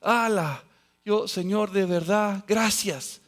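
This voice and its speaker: A man preaching a sermon as pastor, quoting scripture and acting out other people's voices, swinging between loud exclamations and quiet, low asides. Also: slow pace.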